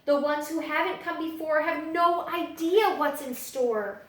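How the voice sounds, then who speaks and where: A woman reading children's picture books aloud as a story-time librarian, with dramatic, expressive storytelling. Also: sits high, measured pace. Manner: dramatic, animated storytelling delivery. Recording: echoey room.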